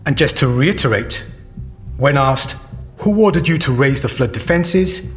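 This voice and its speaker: An actor playing a persistent, sceptical official questioner at an inquiry in an audio drama, formal, deliberate and pointed. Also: male voice, mid-range voice, expressive, soft background music, natural voice.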